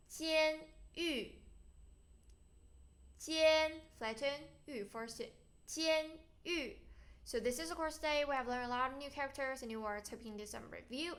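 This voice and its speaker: Bright, high-voiced Chinese-accented woman teaching. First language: Mandarin Chinese. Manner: enunciates words deliberately, syllable by syllable. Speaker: language teacher and host. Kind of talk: slow vocabulary lesson with words repeated for learners.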